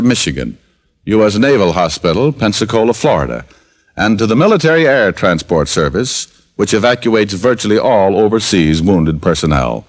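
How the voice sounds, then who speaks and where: A middle-aged man delivers a live 1950s radio message, smooth and a touch wry. His voice sits fairly low but moves freely up and down. He reads like a seasoned star addressing a listening audience. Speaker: star and sponsor spokesman.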